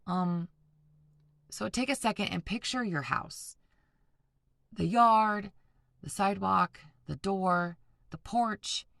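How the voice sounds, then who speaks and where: A woman teacher reading a novel aloud to students, pausing to explain and ask them to picture things. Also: on the low side, gravelly, fairly bright tone, dry recording, clean studio sound. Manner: patient, explanatory, addresses students directly. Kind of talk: classroom read-aloud with discussion breaks.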